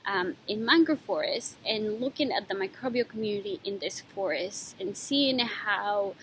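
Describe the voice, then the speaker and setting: A woman's voice: medium pitch, fairly bright tone, a little hiss or hum, low-quality recording. Woman from Ecuador explaining her research. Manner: thoughtful, with frequent pauses and fillers. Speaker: PhD student. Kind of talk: informal talk about her research.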